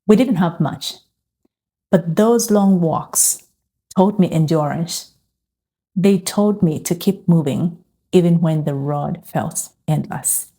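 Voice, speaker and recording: A middle-aged woman from Uganda sharing a calm, reflective personal faith testimony. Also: lowish voice, slow, husky. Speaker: faith and lifestyle vlogger.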